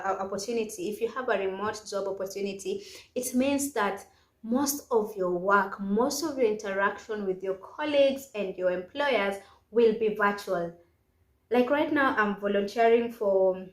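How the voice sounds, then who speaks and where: A woman with a Kenyan accent giving casual, instructional career advice as a video host, stressing her points emphatically. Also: medium pitch. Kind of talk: casual instructional vlog talk. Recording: echoey, roomy sound.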